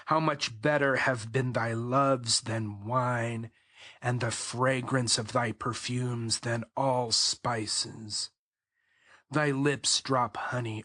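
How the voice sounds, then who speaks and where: A man as a slow, bright-voiced adoring royal lover. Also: mid-range voice, dry recording, clean studio sound. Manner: solemn, measured, archaic poetic phrasing. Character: King Solomon as tender adoring lover praising his bride. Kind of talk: dramatized scripture reading, bedtime poetry.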